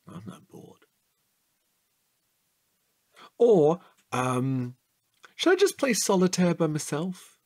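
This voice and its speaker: A man's voice: on the high side, wildly swooping pitch, slow, darkish tone, full character voice. Man acting a whiny, wildly swooping playful guy. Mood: sulky. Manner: indignant, teasing, theatrical. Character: playful, whiny, easily bored man, childishly eager. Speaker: actor. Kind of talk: audio drama dialogue.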